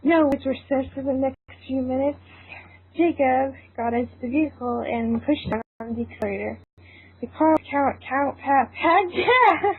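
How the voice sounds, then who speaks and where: A woman's voice: high voice, normal speed, slightly rough. A woman reading a story aloud as narrator in an informal way, stumbling now and then and apt to giggle. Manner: informal, breaks into giggles, stumbles over lines. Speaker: narrator of a fan fiction reading. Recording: thin phone-like sound, faint noise.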